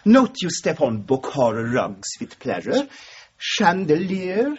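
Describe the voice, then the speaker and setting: High, fawning hotel manager. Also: male voice, huge pitch swings, slow pace, breathy edge, muffled recording, exaggerated voice. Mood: insistent, flattering. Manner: servile, clipped broken English. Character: obsequious, fussy male Turkish hotel manager, fawning and insistent. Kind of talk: radio drama acting.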